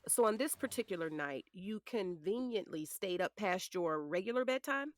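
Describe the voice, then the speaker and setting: Female defense lawyer, played by an actress, shrewd and pointed, expressive pitch, dry studio sound, courtroom drama. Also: normal speed, dry recording, natural voice. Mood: probing, sly. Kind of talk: audio drama acting, courtroom questioning.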